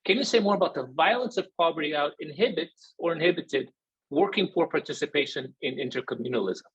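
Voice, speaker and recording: Man, fairly high pitch, husky rough-edged voice, halting academic moderator, dull lo-fi call sound. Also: lively intonation. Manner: halting, searching for words. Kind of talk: unscripted academic discussion.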